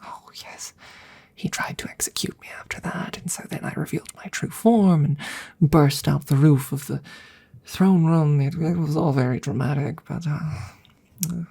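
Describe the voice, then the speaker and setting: A woman voicing an ancient, courtly and slightly awkward female dragon in a soft ASMR roleplay, breathy and often whispered, with hesitant, formal phrasing. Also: low-pitched, moderate pace, exaggerated voice. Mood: amused, reminiscing. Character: courtly, awkward centuries-old female dragon in human guise.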